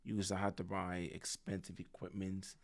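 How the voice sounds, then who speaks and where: Deep gravelly man. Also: moderate pace. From Bahamas. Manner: relaxed, reflective, repeats words as he thinks. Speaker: podcast guest. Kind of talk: casual conversation. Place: office conference room. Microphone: studio mic on a stand.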